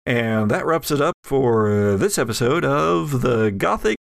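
A man working as game master, improvising halting but vivid narration for a tabletop horror game on a podcast. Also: mid-range voice, lively intonation, creaky, dry recording, studio quality.

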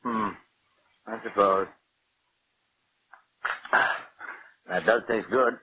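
A man's voice: lowish voice, steady tone, unhurried, lightly acted. Male actor as urbane mocking husband, sardonic, theatrical, genteel, 1940s radio drama, muffled old recording, faint hiss. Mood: fretful, distracted. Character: urbane, sardonic husband toying with his scheming wife.

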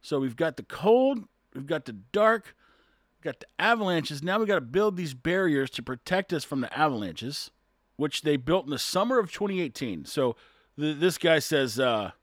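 A man's voice: on the high side, lively intonation, normal speed, no room sound, studio quality. A man talking casually on his own and reading an article aloud, chuckling often, rambling and tripping over words.

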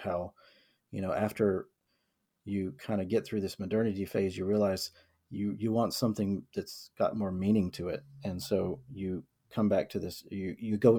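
A man's voice: lowish voice, mellow, husky. A young man from the American South co-hosting a podcast, reading book quotes aloud and reflecting on them with many fillers and restarts. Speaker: podcast co-host. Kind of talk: casual discussion of a book.

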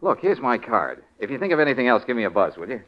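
An actor playing a suave, wry private investigator in a 1940s radio detective drama, cool and commanding with a flirtatious streak. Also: male voice, mid-range voice, big pitch swings, quick pace, lightly acted. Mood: flirtatious, probing. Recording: muffled old radio drama recording.

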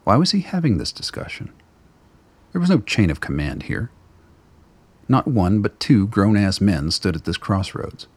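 Narrator for radio drama: man, very low, husky and gravelly, dramatic storytelling. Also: sing-song, moderate pace, dry recording.

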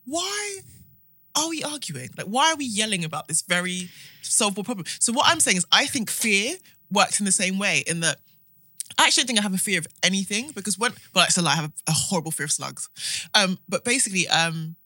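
A woman's voice: mid-range voice, big pitch swings, brisk, sharp tone, dry recording. A woman co-hosting a podcast, chatting casually and informally, often acting out imagined dialogue. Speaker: podcast co-host. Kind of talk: casual chat.

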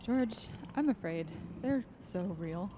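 A woman's voice: mid-range voice, animated, slow pace, mellow, big-room sound. A woman playing an anxious, fretful wife and mother in a radio play. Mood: frightened, tearful. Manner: fretful, pleading. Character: anxious wife and mother, uneasy and easily frightened.

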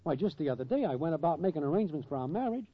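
A man's voice: on the high side, big pitch swings, racing. A glib streetwise schemer in a 1940s radio comedy. He is breezy and evasive, with slangy talk that bounces up and down. The vintage recording sounds dull. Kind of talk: 1940s radio sitcom acting.

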